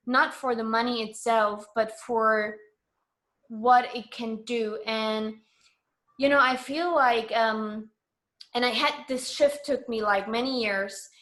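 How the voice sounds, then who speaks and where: A woman's voice: fairly high pitch, fairly bright tone. A woman from East Germany with a German accent, talking earnestly and explaining her views as an interview guest. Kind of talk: reflective conversational interview.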